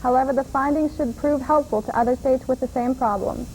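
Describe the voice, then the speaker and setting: A woman anchoring a student television newscast, reading the stories in an even, measured way. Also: high voice, slight background noise, poor audio. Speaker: news anchor. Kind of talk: read news bulletin. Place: student TV studio.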